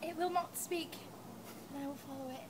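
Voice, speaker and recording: A woman plays a headstrong, defiant young prince in amateur Shakespeare. The voice is high and declamatory, with light noise in the recording. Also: steady tone, normal speed, a little mellow, natural voice. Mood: resolute. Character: defiant young prince determined to follow a ghost. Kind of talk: amateur stage reading of Shakespeare. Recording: some background noise.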